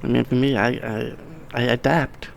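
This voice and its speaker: A middle-aged man with a deeply gravelly, fry-heavy voice talks in a casual, blunt way and swears freely. He often restarts his sentences, and a faint hiss sits under his words. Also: steady tone, moderate pace. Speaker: podcast guest, wedding videographer. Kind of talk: casual conversation.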